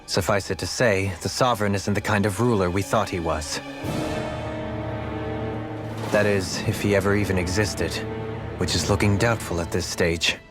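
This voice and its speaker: An actor playing a calm, resolute noble lord in a video game, speaking formally and eloquently in measured phrases. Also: male voice, low-pitched, heavy reverb, long reverb tail, far from the mic, over music, natural voice. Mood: dry, grave.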